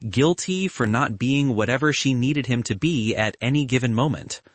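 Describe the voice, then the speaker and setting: A man's voice: medium pitch, moderate pace, dry recording. A man reading scripted fiction as a narrator, moving between narration and acted dialogue. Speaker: audiobook narrator.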